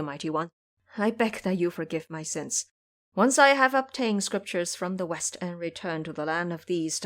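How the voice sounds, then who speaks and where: A woman's voice: on the low side, a bit bright, lightly acted. A woman voicing a meek male Buddhist monk in an audio drama, formal and deferential.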